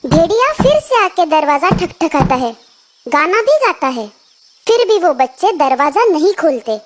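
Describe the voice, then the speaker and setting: A woman's voice: very high-pitched, sing-song, measured pace, noisy background. A woman narrating a scripted children's story as the storyteller. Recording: children's music behind the voice.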